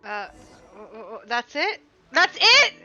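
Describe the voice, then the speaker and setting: Bright, high-voiced, animated woman streaming a game. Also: slow pace, muffled recording, soft background music. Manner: exasperated, expressive, pleading with the game. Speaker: live streamer. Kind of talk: casual live game commentary.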